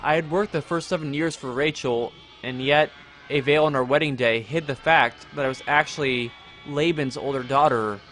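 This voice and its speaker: A man's voice: mid-range voice, normal speed, fairly bright tone, faint music behind, lightly acted, low-quality recording. A man playing a meek, mild younger twin and mother's boy, explaining himself plainly in an audio drama. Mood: earnest, meek. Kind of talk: audio drama interview testimony.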